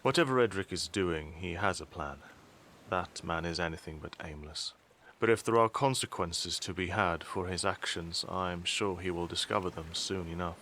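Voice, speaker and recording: Man playing a low-voiced, sardonic elder statesman. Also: bright, forceful tone, slight background noise. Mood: cool, wry. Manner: measured, formal, dry wit. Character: composed, sardonic father and statesman, dryly unbothered. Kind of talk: audio drama acting.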